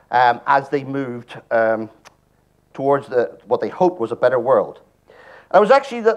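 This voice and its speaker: Irish man giving a joking conference talk. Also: a little high. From Ireland. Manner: joking, wry asides. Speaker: conference speaker. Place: large presentation hall.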